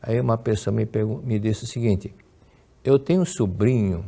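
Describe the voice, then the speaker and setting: A man's voice: on the low side, moderate pace, vocal fry, mellow. An older man with a Brazilian Portuguese accent, giving a religious lesson, telling stories and answering questions, often repeating and restarting words. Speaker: religious lecturer. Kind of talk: religious teaching with Q&A.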